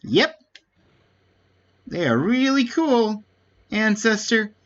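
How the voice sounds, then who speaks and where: A man's voice: high-pitched, big pitch swings, extremely slow, fairly bright tone, muffled recording, heavily acted. A man voicing a perky young Wingly girl, casual and eager for adventure, in a fantasy game read-aloud. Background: faint game music.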